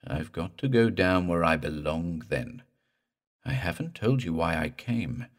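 Male narrator as undead drowned man, deep guttural gurgle, slow creepy drawl, implacable, horror storybook. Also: animated. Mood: menacing.

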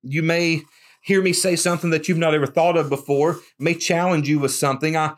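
A man's voice: fairly high pitch, steady tone, fairly quick. A man preaching a conversational, emphatic sermon, often repeating words as he starts a thought. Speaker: pastor.

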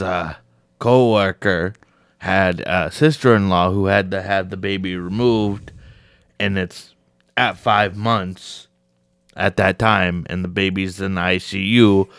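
A hoarse man co-hosting a casual podcast, talking haltingly with fillers. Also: deep voice, steady tone, fairly bright tone, no echo, studio quality. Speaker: podcast co-host. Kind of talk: casual chat.

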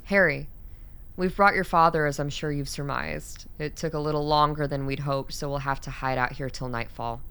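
An actress playing a composed, commanding woman doctor in a radio play, speaking in a measured, formal manner. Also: low voice, steady tone, a bit bright, smooth. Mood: calm, businesslike.

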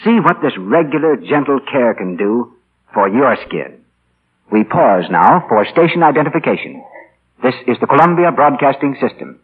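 A man's voice: medium pitch, big pitch swings, normal speed, slightly rough. A man working as a 1940s radio announcer, delivering chatty, polished scripted sales patter. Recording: scratchy, tinny 1940 radio broadcast.

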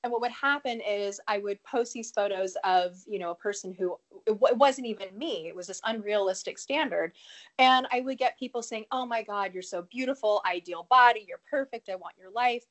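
A woman's voice: mid-range voice, normal speed. Woman with a cold, earnest and talkative. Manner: earnest, with fillers and restarts, laughing at herself. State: has a cold, a bit sniffly. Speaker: podcast guest, speaker and educator. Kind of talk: conversational interview answer.

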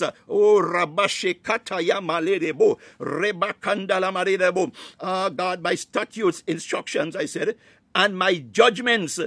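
A man's voice: high-pitched, fairly bright tone, no echo. A man delivering a fervent Pentecostal sermon, expounding scripture in short phrases punctuated by exclamations of praise. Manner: breaks into speaking in tongues. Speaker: preacher.

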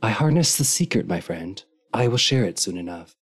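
A man's voice: lowish voice, animated, normal speed, husky. A man voicing a self-important, flowery adventurer in a tabletop game. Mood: grandiose. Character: grandiose, self-assured male adventurer. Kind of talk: in-character roleplay.

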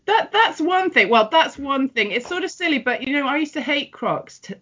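A middle-aged woman from North West England, talking through her life in a relaxed, reflective interview with frequent ums and restarts. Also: high-pitched, moderate pace. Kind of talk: personal interview. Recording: muffled, dull audio.

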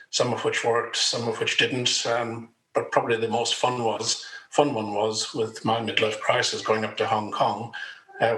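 A man's voice: on the low side, bright, forceful tone, roomy sound, rough recording. A middle-aged Irish man chatting as a podcast guest, rambling with frequent ums and uhs. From Ireland. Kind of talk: conversational interview.

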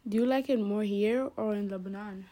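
Mellow-voiced teenage girl interviewer. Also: medium pitch, a little fast. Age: teens. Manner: plain, reads short questions. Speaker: student interviewer. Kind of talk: school podcast interview.

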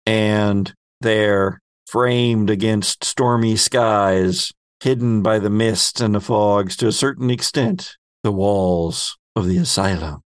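A man hosting a tabletop roleplaying game as game master, narrating scenes deliberately and pausing mid-sentence as he improvises. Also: on the low side, clean tone, no echo.

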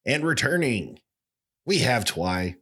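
A man's voice: mid-range voice, big pitch swings, slow, a bit bright, slightly rough. A man with a cold, sounding stuffed up, hosting a trivia podcast in a jokey, hammy way. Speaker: podcast host. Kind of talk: playful casual chat.

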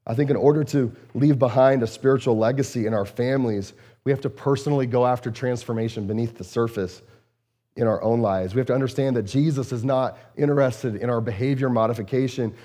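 A man, a lead pastor, preaching in an earnest, conversational way, often repeating words and using fillers, his voice a bit strained and hoarse. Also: mid-range voice, a little fast, dark tone. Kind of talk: conversational sermon.

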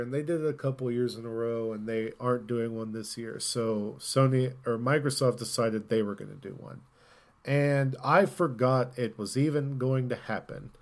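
A man's voice: medium pitch, a little mellow. A man co-hosting a podcast, chatting casually about gaming news in an informal, rambling way. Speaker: podcast host.

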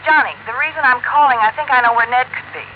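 A woman's voice: high voice, quick pace, close to an everyday voice. An American actress playing an earnest, pleading woman caller in a 1950s radio detective drama. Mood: earnest, confiding. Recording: muffled, hissy old radio recording.

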